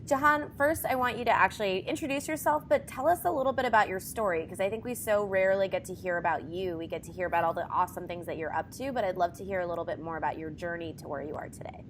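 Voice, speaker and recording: A woman's voice: quick pace, hardly any pauses. A woman hosting a casual interview, talking in an informal, enthusiastic and chatty way. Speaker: interviewer, host. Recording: faint background noise and rumble.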